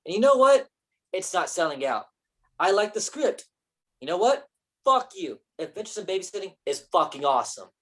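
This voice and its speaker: A woman's voice: lowish voice, very animated, normal speed, breathy edge. A woman in a staged play reading, playing a defensive, ambitious male film actor with a casual, profane manner. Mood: defensive, angry.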